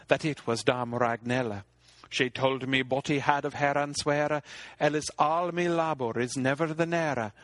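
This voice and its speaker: A man's voice: medium pitch, a bit bright, dry recording, natural voice. A man voicing a troubled medieval king, speaking archaic verse in a grave way.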